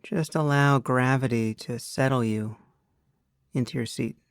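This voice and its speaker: A man leading a guided meditation as a teacher, speaking in an unhurried, measured way with long pauses. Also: medium pitch, steady tone, smooth, no echo, studio quality.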